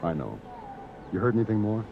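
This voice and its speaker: An actor playing a brisk, matter-of-fact airline copilot, a man in a 1950s radio drama. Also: fairly low pitch, big pitch swings, creaky. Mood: businesslike. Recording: muffled, noisy old radio broadcast.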